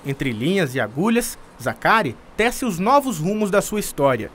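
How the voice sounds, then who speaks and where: Brief: male TV reporter, high and animated voice, scripted narration. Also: moderate pace, slight background noise. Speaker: TV news reporter.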